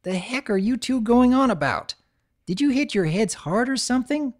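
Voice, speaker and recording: A woman voicing a tough young tomboy filly who talks in a gruff, dismissive way. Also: a little high, expressive, normal speed, pitched above the performer's own voice. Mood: defensive. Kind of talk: dramatic audiobook reading.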